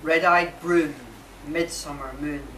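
A man in his forties reads his poetry aloud, unhurried and phrase by phrase. He is heard from a distance in an echoey space, with clear background noise. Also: on the high side, fairly even intonation, bright, forceful tone. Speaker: poet reading own work. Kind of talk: live poetry reading, short measured phrases. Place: marquee with a small seated audience. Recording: distant, echoey, noisy live recording.

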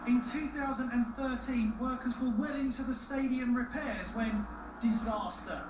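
A woman's voice: fairly high pitch, normal speed, recorded from a distance. A woman reading scripted narration for a television documentary. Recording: echoey TV re-recording from afar, music. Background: music.

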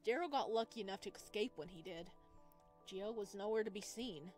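A woman voicing a reserved, considerate young man in a visual novel, in a measured, matter-of-fact way. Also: mid-range voice, darkish tone, faint music behind, close to an everyday voice. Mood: calm concern.

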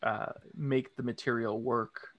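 A man in his thirties hosting a film interview show from a home room, reading a scripted intro and then asking questions with halting restarts. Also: medium pitch, fairly even intonation, fairly bright tone, dull audio. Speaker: host. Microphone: large studio condenser mic with headphones.

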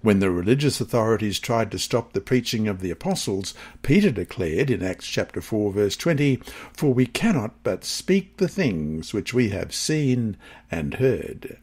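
A man with a husky voice reads a scripted devotional lesson aloud in a measured, careful manner. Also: on the low side, very animated, a little mellow. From Australia. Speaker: lesson reader.

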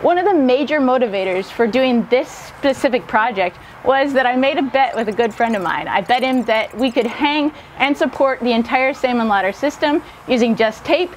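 A woman's voice: on the high side, normal speed, few pauses, a little hiss or hum, poor audio. A woman, narrating a DIY vlog to viewers in an upbeat way. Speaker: host of a DIY workshop channel.

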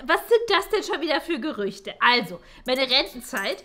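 A woman's voice: sits high, very animated, normal speed, over music. A woman playing an indignant, bossy older schoolteacher in a comedy sketch, scolding in a huffy, schoolmarmish way. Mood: huffy, affronted. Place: small office meeting room, indoors.

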